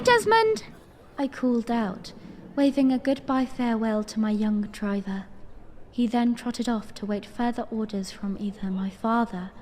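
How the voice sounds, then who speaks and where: A woman playing a young, refined princess, narrating her memories earnestly and formally in a breathy voice for an audiodrama. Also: fairly high pitch, darkish tone, slight background noise, natural voice. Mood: cheerful. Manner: storytelling, formal. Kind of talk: first-person dramatic narration. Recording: long reverberant hall echo.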